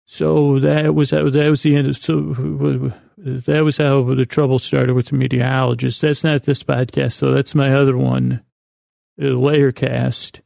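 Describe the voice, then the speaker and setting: A man with a creaky voice hosting a sleep podcast, rambling in a halting, meandering way and often stumbling over his words. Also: mid-range voice, flat delivery, warm tone, no room sound, clean studio sound. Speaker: podcast host. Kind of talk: rambling bedtime-story sleep podcast monologue.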